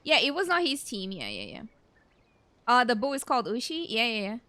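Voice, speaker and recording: A woman streamer comments casually on a game. Her voice is fairly high and bright. She sounds close and dry, with game music faintly behind. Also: very animated, brisk, no room sound. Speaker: streamer playing a video game. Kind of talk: casual let's-play reaction commentary.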